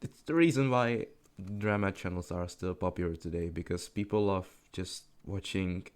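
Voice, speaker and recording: A man's voice: deep voice, moderate pace, clear, resonant tone. An eighteen-year-old man, rambling unscripted over gameplay in a self-deprecating, swearing, laugh-filled way. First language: Czech. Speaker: gaming commentary YouTuber.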